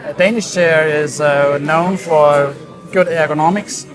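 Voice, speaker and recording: A man explaining his work as a design director in an interview, in a halting, thoughtful way. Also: fairly high pitch, fairly even intonation. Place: busy hi-fi trade show hall. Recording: crowd chatter around the voice. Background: crowd chatter.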